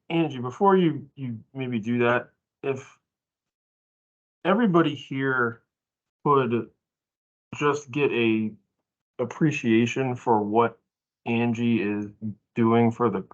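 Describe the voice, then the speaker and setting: A man's voice: medium pitch, slow pace, pauses often. A man presenting informally at a staff training, explaining in short, broken phrases over muffled meeting audio.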